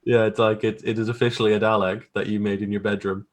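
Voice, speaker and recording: A man's voice: on the low side, little pitch movement, fast talker. A man chatting casually as an interview guest, modest and hesitant, circling back over his phrases. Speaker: guest graphic artist.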